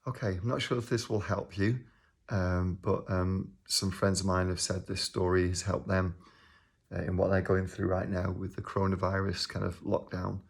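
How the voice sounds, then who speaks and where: A grandfather from Manchester speaks to the camera with a low, gravelly voice that stays flat and barely rises or falls. He rambles through a personal story, filling the pauses with ums and uhs. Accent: Manchester English. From England. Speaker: organisation leader.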